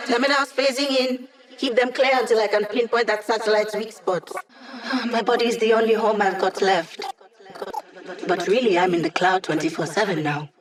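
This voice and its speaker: Video game mission-support hacker, female, young: wry, conversational, quick with quips. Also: a little high. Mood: focused, urgent.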